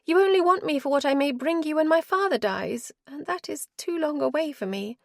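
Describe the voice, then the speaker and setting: A woman voicing the mistress of a house, a wronged wife speaking in a sharp, reproachful way. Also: high-pitched, lively intonation, fairly quick, vocal fry, no echo, studio quality, voice pushed up. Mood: defiant. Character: high, anguished mistress of the house, resolute. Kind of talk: audiobook character voice.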